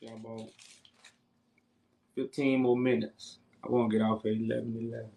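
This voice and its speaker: A man's voice: mid-range voice, little pitch movement, moderate pace, gravelly, mellow. A man hosting a live call-in debate stream, repeating phrases for emphasis as he talks with callers.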